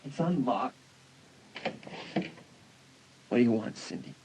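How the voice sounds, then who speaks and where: A woman's voice: extremely low pitch, animated, quick pace. A woman playing a sullen, guarded teenage older sibling in a dramatised audiobook. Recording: faint background noise.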